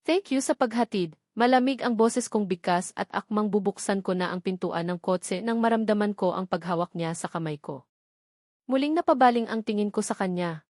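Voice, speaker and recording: A woman's voice: mid-range voice, moderate pace, darkish tone, no echo, clean studio sound. A woman with a Filipino accent narrating a first-person romance novel from a script. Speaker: audiobook narrator.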